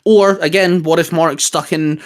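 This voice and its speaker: A man's voice: on the high side, fairly even intonation, a little slow. A man hosting a casual, geeky discussion, explaining his ideas in a rambling way. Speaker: host.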